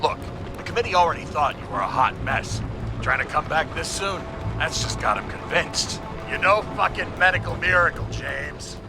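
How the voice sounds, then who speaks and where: A man's voice: on the high side, normal speed, lightly acted. Blunt police chief played by a man, music behind. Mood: exasperated. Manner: blunt, wry, authoritative. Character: blunt, profane, protective older police chief. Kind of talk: cinematic video game noir acting.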